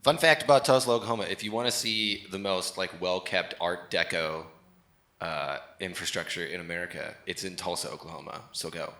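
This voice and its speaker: A man's voice: deep voice, brisk, bright, forceful tone. A man co-hosting a live comedy show, throwing in casual, exclaiming, sweary banter. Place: live show in front of a room audience.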